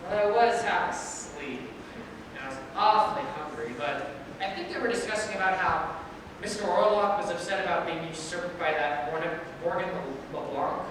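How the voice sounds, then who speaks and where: A woman's voice: lowish voice, normal speed, lightly acted. A woman playing a dim, lazy, boastful palace guard who rambles and gossips in a stage play reading. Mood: rambling. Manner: rambling, excitable, forgetful of names. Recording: very echoey hall from far off, noisy.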